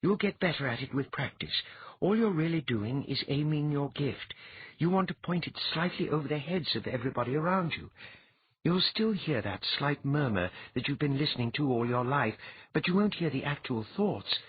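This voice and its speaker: A husky-voiced audiobook reader voicing a patient woman who instructs calmly with a wry edge. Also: medium pitch, moderate pace, phone-quality sound, pitched above the performer's own voice. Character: patient, teasing woman teacher.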